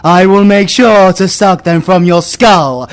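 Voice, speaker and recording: A sinister, brain-eating creature for an audio roleplay. He draws out his words theatrically, with dark menace in the delivery. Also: sits high, a little slow, clear, resonant tone, dry recording. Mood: menacing. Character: menacing, slow-spoken dark male creature who eats brains.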